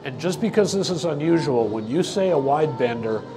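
A man in his sixties presenting and interviewing in a measured, inquisitive way. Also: on the high side, lively intonation. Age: 60s. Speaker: interviewer and magazine content officer. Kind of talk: interview questions and presenting to viewers.

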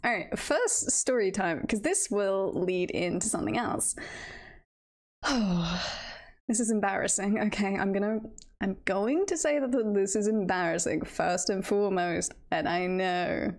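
A woman hosts a casual livestream at a slow, halting pace. Her voice sits at a medium pitch, and she tells stories in a giggly, self-deprecating manner. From United Kingdom. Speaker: livestreamer, VTuber host. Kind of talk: casual livestream chat, storytelling.

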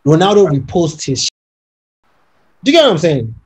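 Young man, mellow dark voice, emphatic, casual podcast debate, video call from home. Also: a little high, moderate pace, breathy edge. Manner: animated, emphatic, persuasive, often asks "you know what I'm saying". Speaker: podcast co-host. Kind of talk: casual debate among friends.

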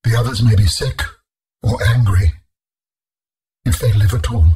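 An actor voicing an ancient, solemn alien in a video game, speaking in formal, measured, grave phrases with a husky tone. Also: male voice, fairly low pitch, steady tone, mellow. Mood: calm, grave. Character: ancient alien architect being, male, solemn and calm.

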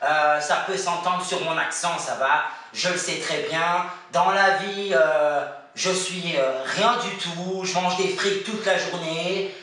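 A man's voice: high voice, takes it slowly, heavily acted. A man playing a goofy, twitchy Belgian contestant obsessed with fries, with a Belgian French accent. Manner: excitable, twitchy, belts gospel off-key. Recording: echoey room from far off.

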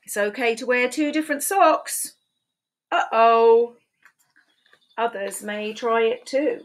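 A woman in her fifties reading picture books aloud to preschoolers in a slow, sing-song storytime voice, recorded on a webcam in a small room at home. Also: on the high side. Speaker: children's book reader. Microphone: webcam at desk.

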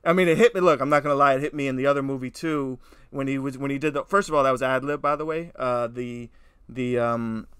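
A man co-hosting a podcast, chatting casually in animated, slangy talk. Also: medium pitch, quick pace, clean tone, dry recording, studio quality. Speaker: podcast co-host. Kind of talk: casual movie discussion podcast.

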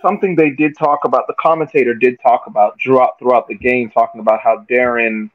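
A man giving casual sports analysis on a video call, halting and repeating words as he thinks. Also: medium pitch, fairly even intonation, dry recording. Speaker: sports podcast panelist. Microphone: video call microphone. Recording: dull, dry video-call audio.

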